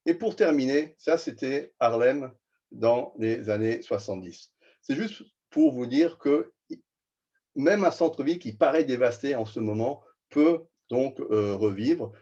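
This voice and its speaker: A man's voice: medium pitch, mellow, slightly rough. A man, a professor, giving a webinar presentation on a video call, hesitant with many repeated words and fillers. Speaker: urban planning professor presenting research. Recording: dull lo-fi video call audio.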